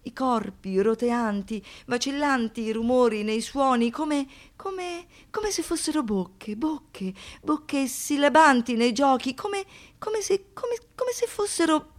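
High-voiced woman in dramatic theatrical recitation. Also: lively intonation. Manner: deliberate, repeats phrases for poetic effect. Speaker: monologue performer.

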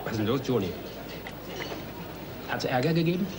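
A man playing a calm, soothing father in a German drama. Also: medium pitch, normal speed, natural voice. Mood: concerned. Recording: noisy, crowd chatter behind.

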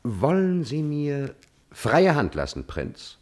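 An actor playing an obsequious, coolly calculating courtier in a classical German radio drama, speaking in a measured, insinuating manner. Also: male voice, on the high side, wildly swooping pitch, a little mellow, heavily acted. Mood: scheming.

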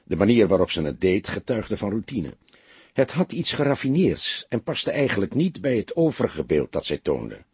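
Een man met een Nederlands en een hese, rasperige stem leest als verteller een detectiveverhaal voor, met dialogen. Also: on the low side, very animated, normal speed, a little mellow, no echo. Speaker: audiobook narrator. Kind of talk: scripted literary narration of a detective novel, with character dialogue.

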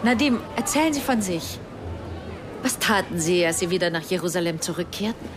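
A woman's voice: lowish voice, animated, brisk, a crowd in the background, natural voice. Polished polite woman official, played by an actress. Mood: polite, formal. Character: polished, polite female EU representative, gushingly sympathetic. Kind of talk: radio drama acting. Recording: noisy background ambience.

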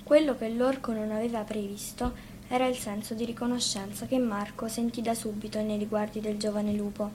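A woman's voice: mid-range voice, level tone, brisk, a little mellow, slight background noise. A woman reading a scripted fairy tale as a storyteller.

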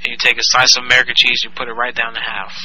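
Prank caller, man, bright tense tone, deadpan baiting. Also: mid-range voice, racing, slight background noise, low-quality recording. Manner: deadpan, provocative, insulting. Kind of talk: prank phone call.

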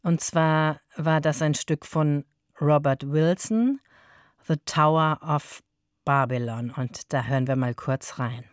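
Woman radio presenter, conversational, deep register, measured pace, weighted key words. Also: no room sound, clean studio sound. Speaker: radio host. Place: dry radio studio.

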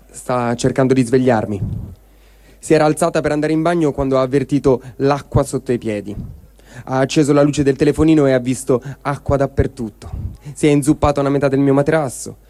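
A man's voice: medium pitch, a little mellow. A man giving a dramatic reading of a first-person written diary. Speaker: reader of a translated testimony.

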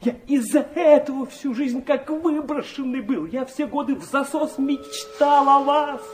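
An actor playing a proud, wounded teenage illegitimate son in a radio drama, speaking in a passionate, bitter, declamatory way. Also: male voice, very high-pitched, a little slow, soft background music.

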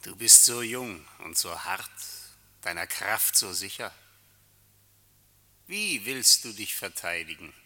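A man's voice: on the low side, lively intonation, takes it slowly, pauses often, pushed and bright, exaggerated voice. A man acting in a literary radio play as an uncanny, eloquent seducer, taunting and commanding with theatrical rhetorical questions. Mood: coaxing, challenging. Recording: long reverberant hall.

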